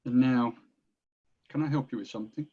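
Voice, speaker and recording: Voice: medium pitch, a little fast, slightly rough, natural voice. Lo-fi sound. Female general, fifties played by an actor: stern, dismissive, formal table-read delivery.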